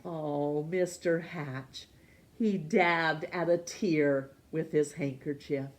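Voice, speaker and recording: Slow, gentle woman reading a storybook. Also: deep voice. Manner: gentle, slow and expressive, voicing characters. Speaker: teacher reading aloud. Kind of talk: children's storytime read-aloud.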